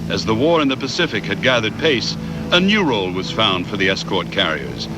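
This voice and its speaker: A man reads scripted documentary narration in a measured, factual way. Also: on the low side, very animated, noisy background.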